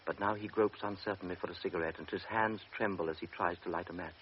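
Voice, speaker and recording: A man narrating a classic radio drama in a measured, grave manner. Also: deep voice, fairly even intonation. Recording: dull old radio recording with faint hiss.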